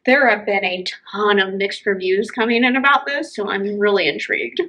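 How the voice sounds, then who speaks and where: A woman's voice: mid-range voice, normal speed, bright, forceful tone, poor audio. A woman with a mid-range voice speaks as the host of a casual recommendation show. She is informal and enthusiastic, talking as if in an easy conversation. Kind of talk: casual book recommendation chat.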